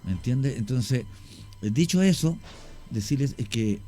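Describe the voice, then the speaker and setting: Middle-aged man, Chilean accent, dark mellow tone, rambling explanations, faint music underneath. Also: mid-range voice, animated, measured pace. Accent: Chilean Spanish. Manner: rambling, self-correcting, explanatory. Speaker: guest, longtime cemetery worker. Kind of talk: radio interview storytelling.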